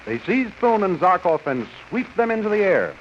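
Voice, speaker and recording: A dramatic radio serial narrator, fairly high and very animated. The vintage recording is muffled, with a faint hiss. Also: male voice, normal speed.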